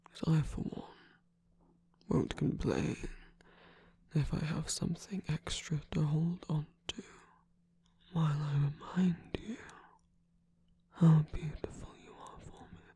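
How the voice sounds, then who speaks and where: Breathy, whispering villain lover voiced by an ASMR performer of unclear sex. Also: mid-range voice, steady tone, slow, pauses often, exaggerated voice. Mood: earnest, coaxing. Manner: tender, soft-spoken, teasing. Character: affectionate villain lover, battle-scarred, gently scolding and reassuring. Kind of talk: intimate ASMR romantic roleplay.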